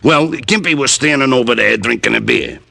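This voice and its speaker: A man's voice: mid-range voice, moderate pace, vocal fry, sharp tone, dry recording, heavily acted. A man playing a surly, greedy bartender in an old-time radio crime drama, talking in tough street slang. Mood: matter-of-fact. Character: surly, greedy male dive-bar bartender.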